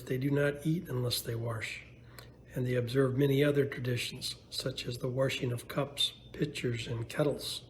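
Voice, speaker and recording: A man's voice: medium pitch, darkish tone, a little hiss or hum, poor audio. A man reading Bible passages aloud as a church reader, in a scripted narration style.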